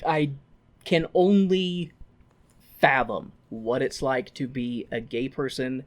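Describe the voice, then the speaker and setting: A man's voice: medium pitch, slow. A man with an American accent giving a casual, sweary recap with wry asides, as a podcast co-host. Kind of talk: casual film recap with commentary.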